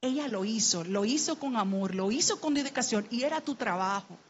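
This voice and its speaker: A woman's voice: on the high side, expressive, a little fast, a little hiss or hum. Earnest Dominican woman, muffled recording. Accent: Dominican Spanish. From Dominican Republic. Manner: earnest, heartfelt, grows urgent and exhorting. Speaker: panel speaker. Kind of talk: personal testimony in a panel talk.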